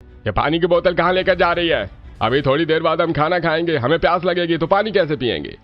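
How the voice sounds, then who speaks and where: A man's voice: on the high side, animated, a little fast, lo-fi sound, faint music behind. A man voicing a stern, stingy middle-aged uncle in a family cartoon, curt and scolding. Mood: stern, irritated. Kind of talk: animated family story dialogue.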